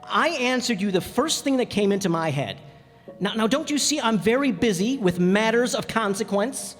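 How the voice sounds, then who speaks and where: A man's voice: high-pitched, lively intonation, moderate pace. A man playing a stranded pilot who narrates and talks in a radio drama, reflective but easily flustered. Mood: flustered, exasperated. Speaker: narrator and character. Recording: long hall reverb, music behind.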